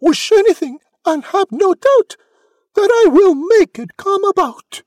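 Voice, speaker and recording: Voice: extremely high pitch, animated, measured pace, a little mellow, dry recording, pitched above the performer's own voice. A narrator voicing a bossy female magic fairy who grandly proclaims wishes in a storybook tale. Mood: grand, encouraging.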